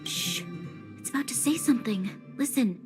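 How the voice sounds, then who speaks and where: A woman's voice: fairly high pitch, lively intonation, normal speed, music in the background, natural voice. A woman playing a watchful, confident young adventurer in a video game.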